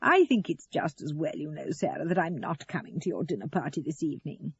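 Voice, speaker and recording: A woman's voice: low-pitched, brisk, vocal fry, slightly rough, muffled recording, full character voice. A woman voicing a righteous older mother in an audio story, prim, self-pitying and cutting. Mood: disapproving.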